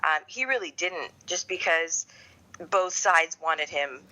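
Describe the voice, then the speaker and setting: Middle-aged woman, bright forceful voice. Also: deep voice, lively intonation, slow pace, poor audio. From Canada. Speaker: podcast guest. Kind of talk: conversational interview.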